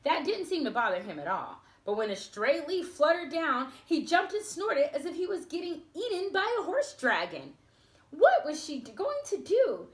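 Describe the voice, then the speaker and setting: A woman reading a storybook aloud to children, expressive, with chatty asides spoken straight to her young listeners. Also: high voice, moderate pace, echoey room.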